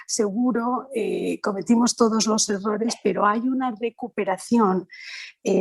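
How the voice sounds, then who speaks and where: A woman's voice: mid-range voice, vocal fry, darkish tone, husky, no room sound. A middle-aged woman from Valencia with a Spain Spanish accent, giving an informal conference talk as a lawyer and panelist, searching for her phrasing and correcting herself. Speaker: human rights lawyer, panel speaker.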